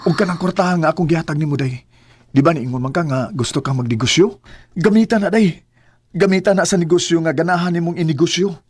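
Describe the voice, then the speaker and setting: A man's voice: fairly high pitch, fast talker, a little mellow, close to an everyday voice. A man with a Cebuano accent voicing a struggling husband and father in a radio drama, earnest and keen to please his wife. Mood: pleading. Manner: earnest, says "day" often. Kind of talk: amateur radio drama acting.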